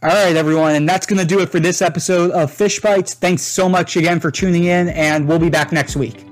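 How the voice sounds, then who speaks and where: A man hosts a sports podcast in a somewhat raised voice. He lays out background in a measured, informative way before handing over to his guests. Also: on the high side, steady tone, faint music behind. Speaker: podcast host and reporter. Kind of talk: scripted podcast commentary.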